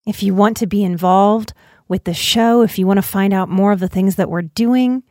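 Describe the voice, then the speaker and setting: A woman podcast host reading aloud in an expressive storytelling style. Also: medium pitch, normal speed, dry recording, clean studio sound.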